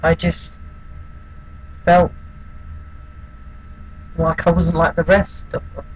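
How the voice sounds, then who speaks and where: Casting: actor as withdrawn bullied outcast, male, halting and subdued dramatic monologue, faintly noisy amateur recording. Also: a little high, monotone. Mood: subdued, pained. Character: withdrawn, wounded outcast recalling being bullied at school.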